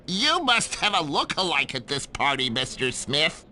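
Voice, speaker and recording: A man's voice: on the high side, big pitch swings, measured pace, sharp tone, full character voice. An actor playing a chatty, genial male socialite at an embassy party in a video game. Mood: sociable. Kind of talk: video game cutscene acting.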